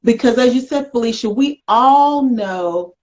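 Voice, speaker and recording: A woman's voice: fairly high pitch, animated, a little slow, a little mellow, highs cut off. An American woman hosting a casual interview in a chatty, playful, folksy way. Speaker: talk show host.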